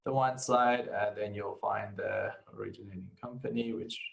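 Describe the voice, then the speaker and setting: A man talking through an informal screen-share software tutorial, halting as he goes and often adding short tag questions. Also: lowish voice, steady tone, creaky. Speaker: software instructor.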